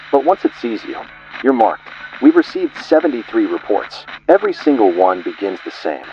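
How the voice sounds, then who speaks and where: A man's voice: fairly low pitch, muffled recording, slight background noise. A man giving ominous scripted horror narration, delivered in short clipped fragments.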